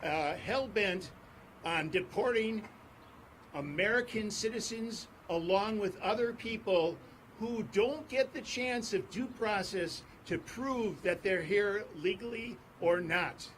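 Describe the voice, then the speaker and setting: A man speaking at an outdoor press conference on court steps, in a forceful, repetitive rally cadence. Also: sits high, slow, slight background noise. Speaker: advocacy group speaker. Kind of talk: impassioned press conference speech.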